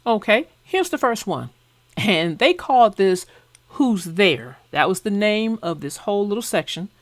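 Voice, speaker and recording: A woman's voice: mid-range voice, big pitch swings, measured pace, no echo. A woman host who narrates children's books, chatting casually and expressively. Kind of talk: casual chat about a children's book.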